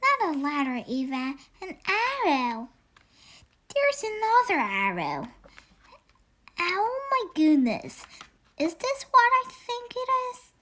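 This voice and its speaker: An excitable little owl pupil from a children's storybook, acted out by a young girl. The voice is high and swoops about, friendly and eager, exclaiming often but never rushing. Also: heavily acted. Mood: excited. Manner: exclaiming, animated.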